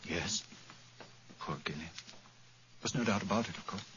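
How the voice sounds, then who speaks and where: An actor playing a polite, nervous, well-bred gentleman, a worried relative, in 1940s radio drama style. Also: lowish voice, moderate pace, natural voice. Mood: shaken, resigned. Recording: dull, very noisy old radio recording.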